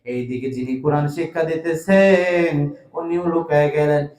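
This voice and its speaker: High-pitched Bangladeshi preacher, echoing sermon. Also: male voice, steady tone, darkish tone. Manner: pleading, drawn-out delivery with rhythmic repeated appeals to listeners. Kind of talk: emotive sermon with chanted, sing-song storytelling. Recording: echoing sound system.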